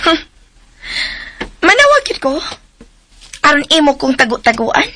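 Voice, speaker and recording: A woman's voice: high-pitched, big pitch swings, unhurried, sharp tone, a little hiss or hum, full character voice. An actress playing a clingy, jealous young woman in an emotional radio drama, insistent and pleading, with a Cebuano Filipino accent. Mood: sulky, accusing. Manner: emotional, insistent, wailing when upset. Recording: radio drama with faint music bed.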